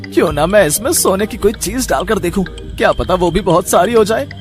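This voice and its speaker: A man's voice: high-pitched, animated, a little fast, soft background music. A man playing a sly, greedy cartoon thief who schemes aloud to himself. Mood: gleeful. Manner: scheming, muttering to himself with "hmm". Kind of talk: cartoon story dialogue.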